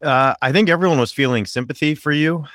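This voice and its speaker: A man's voice: on the high side, fast talker, fairly bright tone, no room sound, studio quality. A middle-aged man hosting a podcast, chatting in a friendly, joking way with hesitant fillers. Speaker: podcast host. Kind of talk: casual podcast chat.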